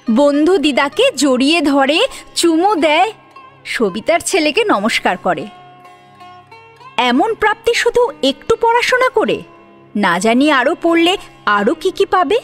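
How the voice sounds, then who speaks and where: A woman narrating a serialized story dramatically, as its storyteller. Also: high-pitched.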